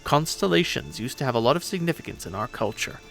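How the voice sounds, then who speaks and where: A man plays a cocky pirate ghost girl from an audio drama. The delivery is very animated and sarcastic, with a gravelly creak at the edges. The manner is impatient and boastful. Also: mid-range voice, fast, faint music behind. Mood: intent explaining. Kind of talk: dramatic fan reading of a web story.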